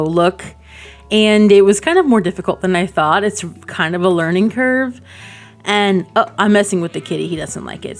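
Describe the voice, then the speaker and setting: Female gaming creator, lowish voice, informal rambling commentary, conversational and unscripted. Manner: chatty, rambling, informal, frequent "like" asides. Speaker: gaming video creator and host. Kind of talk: casual gameplay commentary.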